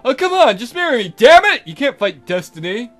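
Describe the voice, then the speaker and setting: Man acting cocky lecherous wolf suitor, young male, high whiny insistence, very lively, visual novel, music behind. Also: fairly quick. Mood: cocky, insistent. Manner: insistent, blustering.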